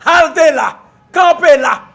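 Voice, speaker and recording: A man's voice: very high voice, unhurried, gravelly, bright, forceful tone. A man with a Haitian accent speaking emphatically as an employee in a news report. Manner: emphatic, rousing speech.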